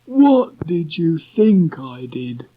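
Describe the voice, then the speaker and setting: A man's voice: a little high, very animated, pitched above the performer's own voice, heavily acted. A man voicing a gloomy old grey donkey who drags out his complaining words. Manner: slow, drawn-out, sulky complaining. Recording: dull lo-fi recording.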